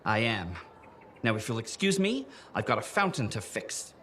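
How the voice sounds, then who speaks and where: An actor playing a fussy, earnest safety officer in a fort. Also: male voice, medium pitch, sing-song, moderate pace, fairly bright tone, a little hiss or hum.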